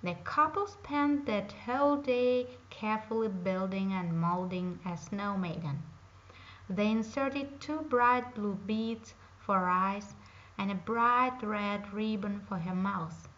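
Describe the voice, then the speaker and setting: Slow, careful woman storyteller. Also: mid-range voice, a bit bright. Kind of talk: reading a fairy tale aloud. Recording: dull lo-fi home recording.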